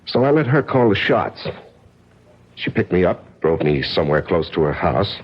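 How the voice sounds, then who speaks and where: An American actor playing an injured, evasive and wry drifter, colloquial and halting, in 1970s radio drama style on a muffled old broadcast. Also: male voice, low voice, big pitch swings, husky, exaggerated voice. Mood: confiding.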